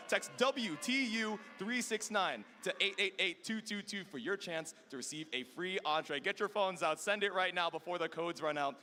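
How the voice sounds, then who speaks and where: A man's voice: high voice, bright, forceful tone. A man hosting a live fighting game tournament broadcast as commentator, upbeat and slangy as he hypes up the crowd. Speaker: esports tournament commentator and host. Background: background music.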